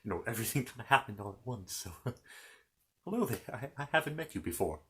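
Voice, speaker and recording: A man's voice: mid-range voice, very animated, bright, forceful tone. A man playing a polite, bumbling, bookish fantasy knight in an ASMR roleplay, stammering and apologetic as he chats. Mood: friendly, sheepish. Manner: halting, stammering, apologetic, chatty. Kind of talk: improvised ASMR character roleplay.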